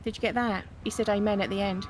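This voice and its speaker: A woman chatting in character during a roleplay game, gossipy and quick to laugh. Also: medium pitch, fairly even intonation, a little mellow, a little hiss or hum. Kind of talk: casual in-character chat.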